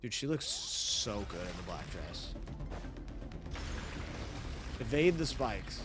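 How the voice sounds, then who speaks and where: A man's voice: lowish voice, big pitch swings, slow pace, music in the background. A man doing casual live game commentary in a playful, slangy, profane way. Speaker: game streamer.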